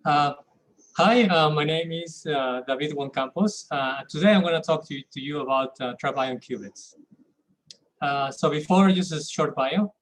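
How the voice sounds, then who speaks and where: A man with a Peruvian Spanish accent and a slightly high voice gives a casual lecture over a video call. He talks in a relaxed way, with halting starts and fillers. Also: rough recording. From Peru. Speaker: guest lecturer. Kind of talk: online lecture.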